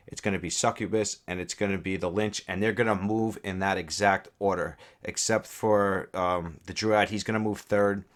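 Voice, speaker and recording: A young man with a stuffed-up, scratchy voice, talking casually through a game guide with earnest, rambling asides and many fillers. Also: fairly low pitch. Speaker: gaming YouTuber.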